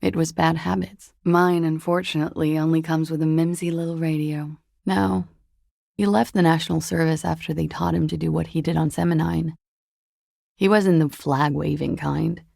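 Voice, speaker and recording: An actress playing a flippant, worldly, evasive young woman in a video game, speaking with a languid, deadpan wryness. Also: low-pitched, normal speed, gravelly, no room sound, studio quality, full character voice. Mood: wistful, detached. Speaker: video game character voice actor. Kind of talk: video game dialogue acting.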